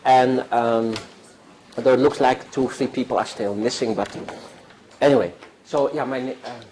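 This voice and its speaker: An older man from the Netherlands with a Dutch accent gives a university lecture to students, talking in a discursive way with halting restarts and rhetorical questions. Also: mid-range voice, steady tone, darkish tone. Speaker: guest lecturer. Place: university classroom. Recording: echoey classroom with faint noise.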